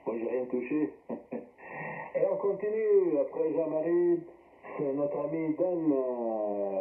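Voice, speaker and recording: A man hosting an amateur radio net, chatting casually and passing the turn between operators over a shortwave link. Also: mid-range voice. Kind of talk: casual radio contact chat. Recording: roomy narrowband shortwave radio transmission.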